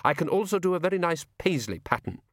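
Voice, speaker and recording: A man's voice: a little high, very animated, quick pace, no room sound, clean studio sound, pitched above the performer's own voice. A man voicing a vain, fussy, icy male frost spirit in an audiobook, haughty and defensive. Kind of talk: audiobook character reading.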